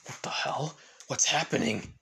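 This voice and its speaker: A man's voice: medium pitch, expressive, measured pace, creaky, fairly bright tone, exaggerated voice. A man voicing an earnest, anxious young male hero in a story, in a plain, exclamatory way. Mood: shocked.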